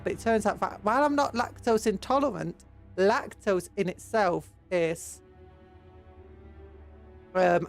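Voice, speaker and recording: A British woman streamer with a cold, blocked nose and sore throat, rambling in casual chat with her viewers. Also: lowish voice. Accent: British English. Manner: rambling, chatty, reads and answers chat.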